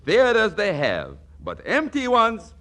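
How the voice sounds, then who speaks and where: A man's voice: sits high, wildly swooping pitch, slow pace, fairly bright tone, exaggerated voice. Grandiose Russian showman, swooping voice. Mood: thrilled. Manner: grandiose, wheedling, comic broken English. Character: excitable, boastful Russian immigrant showman. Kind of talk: 1940s radio comedy acting.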